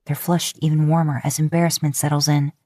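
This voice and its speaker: Low-voiced woman narrating a story. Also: little pitch movement, brisk, dark tone, no room sound, studio quality. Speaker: podfic narrator.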